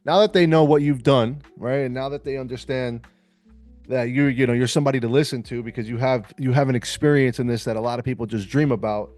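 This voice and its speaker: A man hosting a podcast interview, relaxed and hyping up his guest, often saying "man". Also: mid-range voice, quick pace, faint music behind. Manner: relaxed, hypes up the guest, says "man" a lot. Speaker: podcast host and trading mentor. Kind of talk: casual interview chat.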